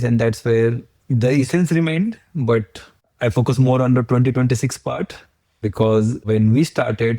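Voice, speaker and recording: A man's voice: medium pitch, warm tone, no echo. A man in his forties from India with an Indian accent, a podcast guest who explains his points earnestly, step by step, with examples. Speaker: agency founder, podcast guest. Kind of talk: podcast interview.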